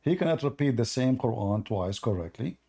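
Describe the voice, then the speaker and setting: A man whose first language is Arabic, hosting a live call-in debate and arguing forcefully while reading from sources. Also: medium pitch, animated, fairly quick, gravelly, slightly rough. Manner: forceful, argumentative, repeats himself. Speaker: host of a call-in debate stream.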